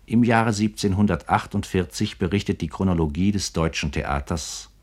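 A male radio narrator with a very low voice. He delivers scripted literary history formally and articulately, in the manner of 1950s German broadcasting. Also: normal speed, a little mellow, breathy edge.

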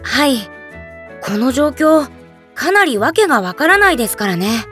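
A woman playing a gentle young hero in an anime audio drama, speaking politely and earnestly. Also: high-pitched, big pitch swings, normal speed, soft background music. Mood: polite, friendly. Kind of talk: Japanese anime drama CD acting.

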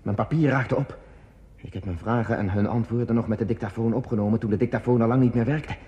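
An actor playing a lonely, war-worn border guard commander in a radio play, brooding and terse. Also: male voice, medium pitch, fairly even intonation, fast talker, mellow, highs cut off, lightly acted. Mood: weary, resigned. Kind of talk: introspective radio play monologue.

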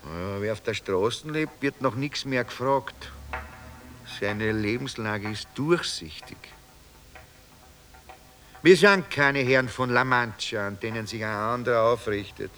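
An actor playing a talkative, wry homeless street philosopher in a radio drama, with a Viennese accent and a rambling, folksy way of talking. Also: male voice, mid-range voice, unhurried, a bit bright, soft background music, lightly acted. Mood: sardonic. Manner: rambling, musing, folksy storytelling with mock-grand turns. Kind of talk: radio drama acting in dialect.

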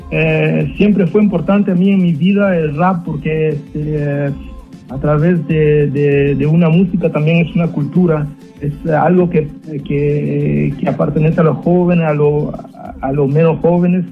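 Lo-fi, muffled male guest, slightly high pitch, halting delivery. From born in El Salvador, moved young to Quebec, Canada. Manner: halting, repeats words, searches for phrases. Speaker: interview guest, rapper. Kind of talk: casual interview talk. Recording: dull lo-fi radio line, faint music.

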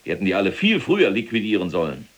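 An imprisoned SS general for an audio drama, a self-justifying fanatic of mature years. His voice sits very low and has a husky rasp. He speaks pompously, as if lecturing, and bristles at contradiction. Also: huge pitch swings, racing. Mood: bitter, ranting. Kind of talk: German audio drama acting, monologue and argument.

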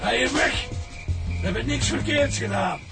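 Krakende, schuldbewuste oude grootvader. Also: male voice, very high voice, animated, normal speed, loud music behind the voice. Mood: panicked, defiant. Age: older. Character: old grandfather, cornered killer, guilt-ridden and fiercely protective. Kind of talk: radio drama acting.

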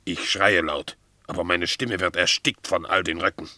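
A devoted bulldog in a radio drama, very deep in voice with a forceful, bright edge. The dog is hearty, blunt and earnest, a little timid at heart. The sound is dry and close. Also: male voice, fairly even intonation, moderate pace, dry recording. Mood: earnest, anxious. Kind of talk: German radio play acting.

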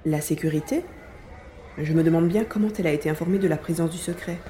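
An actor playing Karl, an anxious, determined adventurer who tells the action as it unfolds in an audio drama. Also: male voice, fairly high pitch, fast talker, natural voice. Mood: wary. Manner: dramatic, expressive narrating of the action. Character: Karl, anxious adventurer man tracking captive friend, grumbling, determined. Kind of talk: dramatized audio drama with first-person narration. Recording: echoey room with faint music.

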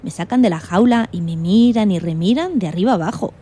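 A woman's voice: mid-range voice, brisk, breathy edge, natural voice. A woman playing an indignant, timid male guinea pig who tells his story expressively in a children's radio play. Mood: curious. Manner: expressive, exclamatory, plaintive.

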